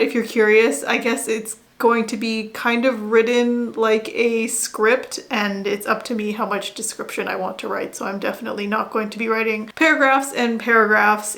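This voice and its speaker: A woman vlogger talking casually to camera, musing and thinking aloud about her writing. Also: fairly high pitch. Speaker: vlogger and writer. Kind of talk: casual vlog chat to camera.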